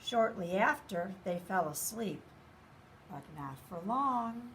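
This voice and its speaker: A woman's voice: medium pitch, lively intonation, slow pace, fairly bright tone, slight background noise. A woman reading a children's picture book aloud expressively, speaking directly to young listeners. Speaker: story reader.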